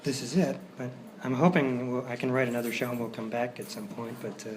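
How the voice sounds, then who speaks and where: A man's voice: medium pitch, steady tone, a little fast. A man hosting a live show, giving an informal, grateful thank-you speech to the audience in a hesitant way. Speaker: writer, director and host of the show. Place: hotel performance space, live audience. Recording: noisy live room recording.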